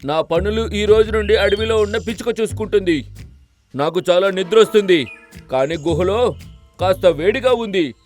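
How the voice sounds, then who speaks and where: A man's voice: high-pitched, moderate pace, lightly acted. A man voicing a boastful, bossy cartoon donkey who poses as a lion king, with a pompous, commanding manner. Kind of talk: children's cartoon story dubbing. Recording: music bed behind the voice.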